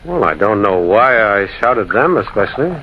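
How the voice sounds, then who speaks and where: An actor playing a concerned railwayman in an old radio drama, telling a ghostly encounter in a dramatic, deliberate, urgent way. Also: fairly low pitch, very animated. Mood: puzzled. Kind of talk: 1940s radio acting, dramatic first-person narration. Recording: dull, hissy old radio recording.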